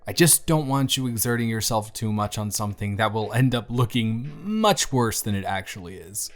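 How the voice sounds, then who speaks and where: A man's voice: on the low side, animated, moderate pace, lightly acted. Man acting self-deprecating teenage boy, music behind. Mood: apologetic, reassuring. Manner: conversational, hesitant, gently wry. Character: bruised, self-deprecating teenage boy confiding in his best friend. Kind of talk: scripted intimate audio roleplay to the listener. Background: soft background music.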